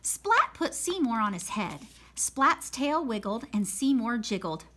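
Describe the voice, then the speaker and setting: A woman reading a children's picture book aloud in a slow, expressive storytelling manner. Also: fairly high pitch, noisy background. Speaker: storybook reader. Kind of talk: children's read-aloud narration.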